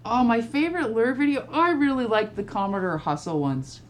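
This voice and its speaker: A middle-aged woman casually telling comic anecdotes, acting out both sides of conversations in an animated way. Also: fairly high pitch, fairly quick. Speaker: guest storyteller, mother of one of the crew. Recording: clearly noisy room ambience.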